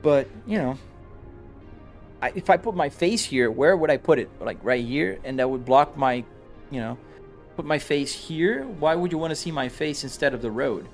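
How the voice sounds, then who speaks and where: A man's voice: fairly high pitch, animated, normal speed. Brazilian man chatting casually, faint music. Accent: Brazilian Portuguese mixed with American English. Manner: chatty, answers viewer comments on the fly, frequent restarts. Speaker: live streamer. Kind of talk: casual live-stream chat while driving a simulator. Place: home simulator rig.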